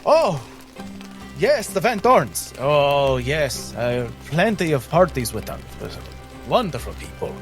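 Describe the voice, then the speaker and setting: A man's voice: fairly high pitch, sing-song, slow pace, soft background music, voice pushed up, full character voice. Man playing a fussy, hesitant young nobleman. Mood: airy, flustered. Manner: hesitant, airy, effusive. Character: fussy, nervous young male nobleman inspecting lumber.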